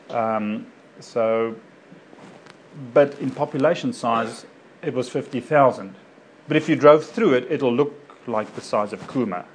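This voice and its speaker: A man's voice: medium pitch, rough recording. Guy, a doctor, chatting to a crowd, lots of "you know" and restarts, bit of background hum. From South Africa. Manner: rambling, many fillers and self-corrections. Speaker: doctor speaking to a local community group. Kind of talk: informal personal talk to an audience.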